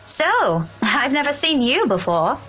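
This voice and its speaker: An actress playing a friendly, witty history teacher in a visual novel, curious and lightly teasing. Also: female voice, high-pitched, very animated, a little slow, fairly bright tone, soft background music, full character voice, rough recording. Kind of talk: visual novel character acting.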